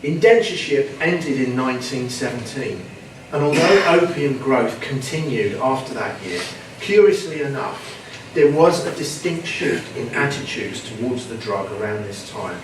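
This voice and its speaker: A man giving an academic conference talk in a measured way, sometimes repeating words. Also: medium pitch, expressive. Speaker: conference presenter. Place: conference room. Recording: echoey hall with background noise, mic at a distance.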